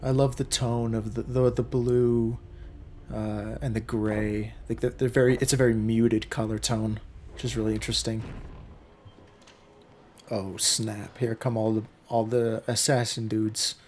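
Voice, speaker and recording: A hoarse young man in his twenties hosting a casual film reaction, chatty and excitable, with many fillers. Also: on the low side, unhurried, a little hiss or hum. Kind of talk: casual movie reaction commentary.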